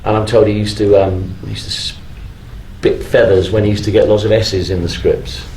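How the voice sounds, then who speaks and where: A middle-aged man chatting casually as a panel guest, halting and often restarting his sentences in an informal way. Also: fairly low pitch, level tone, a little mellow. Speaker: guest actor on a fan convention panel. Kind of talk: casual live panel chat. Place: convention stage in a hall. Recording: echoey live stage recording, faint noise.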